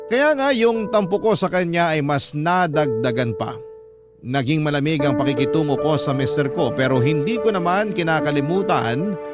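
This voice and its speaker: A man reading a first-person story dramatically as a radio storyteller. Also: on the high side. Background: background music.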